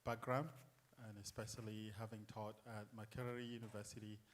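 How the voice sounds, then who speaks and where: Man from South Sudan, audience questioner, fairly low pitch, polite, hesitant, faint background noise. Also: level tone, brisk. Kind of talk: asking a question at a public lecture.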